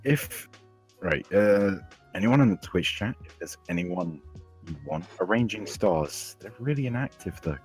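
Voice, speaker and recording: A teenage male podcast host with a very low, slightly husky voice that sounds older than sixteen. He talks casually in a group chat, with faint music behind him. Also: lively intonation, a little slow.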